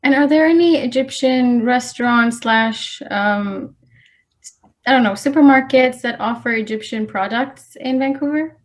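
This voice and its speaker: Woman interviewer, higher voice, curious. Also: a little slow. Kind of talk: interview questions.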